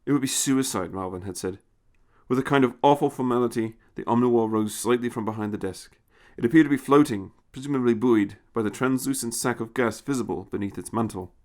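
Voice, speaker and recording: A man's voice: mid-range voice, a little fast, darkish tone. A man reading a scripted science fiction story as its narrator.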